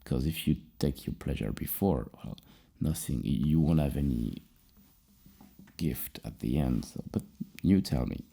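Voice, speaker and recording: Super low mellow guy doing soft asmr therapist talk, kinda rambling and hesitant. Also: a little slow, vocal fry, slightly rough. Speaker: ASMR performer playing a psychologist.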